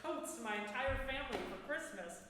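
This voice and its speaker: Grumbling, deadpan hunter played by a woman, amateur improv, fairly high voice in a reverberant room. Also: fairly quick, a little hiss or hum. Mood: disappointed.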